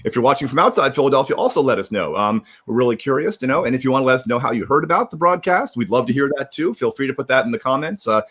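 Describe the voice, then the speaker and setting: A man's voice: medium pitch, expressive, rapid-fire, hardly any pauses, a bit bright, breathy edge. A man hosting a casual livestream, talking informally and rambling through announcements with some repetition. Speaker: livestream host. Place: remote video livestream.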